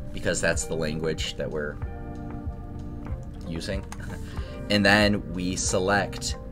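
A man in his thirties with a cold, coughing now and then, giving a relaxed, filler-heavy screen-share coding lesson over a headset in a home office. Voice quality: deeper than usual from illness. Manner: relaxed, loose, self-deprecating, many fillers. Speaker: lecturer.

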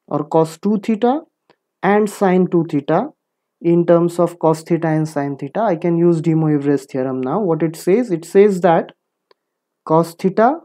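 Mellow-voiced woman giving a maths lecture. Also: on the low side. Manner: deliberate, instructive, poses questions and answers them. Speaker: physics lecturer. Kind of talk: scripted maths lecture, explaining step by step.

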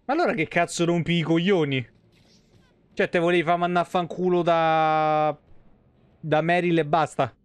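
High-pitched man streaming, dry close sound. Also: steady tone, moderate pace, smooth, dry recording. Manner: foul-mouthed, joking, rambling. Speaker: game streamer. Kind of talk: casual live gameplay commentary. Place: small indoor streaming room at home. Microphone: close streaming microphone.

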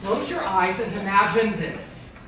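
A man playing an excitable, wisecracking young Hollywood musician in a stage musical comedy, projecting theatrically. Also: high-pitched, a little slow, very noisy. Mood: eager pitching. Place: large indoor theatre stage. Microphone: far audience camera. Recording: echoey theatre from far off, music behind.

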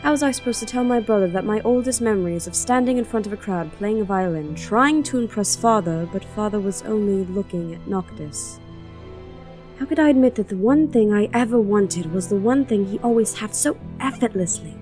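A grieving young princess, played by a woman, speaks in a wistful, introspective way in an audio drama. Her voice sits at a middling pitch, with faint music behind it. She carries a quiet bitterness. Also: expressive, a little fast, natural voice. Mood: wistful, regretful.

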